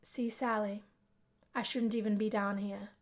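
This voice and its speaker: A woman's voice: mid-range voice, flat delivery, unhurried, close to an everyday voice. A woman voicing a stern, grumpy young man, a dorm adviser in a visual novel, with an exasperated, scolding manner. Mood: sulky.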